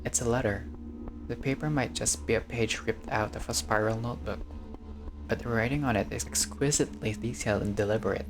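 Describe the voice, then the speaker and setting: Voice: medium pitch, steady tone, a little fast, over music. A gamer reading a visual novel aloud in a casual let's-play style, breaking off into joking, sweary asides.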